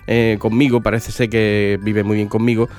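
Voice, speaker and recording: A man hosts a radio book show while fighting a cold, so his voice comes out nasal and congested. He talks in a halting way, full of fillers and restarts. Faint music runs behind him. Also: fairly low pitch, level tone, a little mellow. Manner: hesitant, with many fillers and restarts. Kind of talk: casual radio interview.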